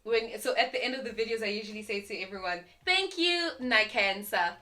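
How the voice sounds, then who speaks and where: A woman's voice: a little high, lively intonation, brisk, bright, forceful tone. A South African woman whose first language is Tsonga, hosting a casual chat and often affirming her guest. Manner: chatty, affirming, laughs easily. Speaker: host.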